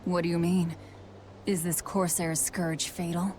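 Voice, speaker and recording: A woman's voice: on the low side, flat delivery, measured pace, darkish tone, slight background noise, close to an everyday voice. An actress playing a stern, no-nonsense woman who leads a crew in a video game, blunt and impatient.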